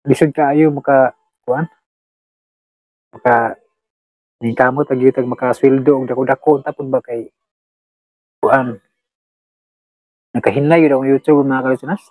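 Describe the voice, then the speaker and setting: Halting Filipino man in a dry recording. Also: medium pitch, fairly even intonation, a little mellow, no echo, rough recording. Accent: Filipino, Cebuano-speaking. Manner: halting, rambling, searching for words. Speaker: channel host. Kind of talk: unscripted casual chat to listeners.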